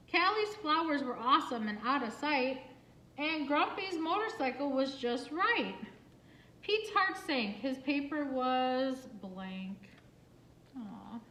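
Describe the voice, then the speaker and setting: A woman reading a picture book aloud for children in an expressive, singsong way, with asides and questions to young listeners. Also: sits high. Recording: roomy, echoing room sound.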